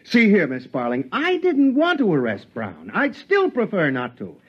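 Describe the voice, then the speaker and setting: An actor playing a harried, placating spaceship captain in a 1950s radio drama, hesitant and coaxing in a formal way. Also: male voice, sits high, wildly swooping pitch, moderate pace, husky, exaggerated voice. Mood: persuasive. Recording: muffled 1950s radio recording.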